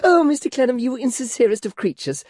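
A man's voice: very high-pitched, expressive, quick pace, darkish tone, no room sound, clean studio sound, voice pushed up. A man putting on a gushing, giddy chatterbox of a woman. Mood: gushing, flustered. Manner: breathless nonstop rambling, giggling, flustered. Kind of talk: audiobook character voice.

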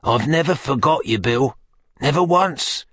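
A man's voice: fairly high pitch, huge pitch swings, a little slow, breathy edge, no room sound, studio quality, pitched above the performer's own voice, heavily acted. A man voicing a wily old gang boss in an audiobook, submissive, ingratiating and pleading. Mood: submissive wheedling. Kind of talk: audiobook character acting.

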